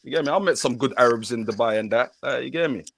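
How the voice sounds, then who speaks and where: A man's voice: mid-range voice, fast talker, vocal fry, no echo, studio quality. A man from northern Somalia, hosting a live panel debate, talking in street slang and repeating himself to drive a point home. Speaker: podcast host.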